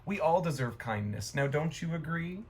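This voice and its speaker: A man voicing a sweet, excitable, kind-hearted young female pig in a storybook, earnest and wide-eyed. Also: a little high, normal speed, slight background noise, natural voice. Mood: gleeful.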